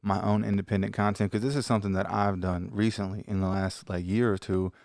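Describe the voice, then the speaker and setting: A man's voice: on the low side, level tone, fast talker, a little mellow, no echo, clean studio sound. A man in his thirties hosting a podcast, asking long, rambling, eager questions. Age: 30s. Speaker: podcast host. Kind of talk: casual interview chat.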